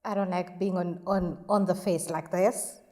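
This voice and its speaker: Earnest woman, low-pitched. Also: steady tone, darkish tone, long reverb tail. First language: Kikuyu. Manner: earnest, measured, reflective. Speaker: charity organizer, interview guest. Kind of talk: casual interview answers.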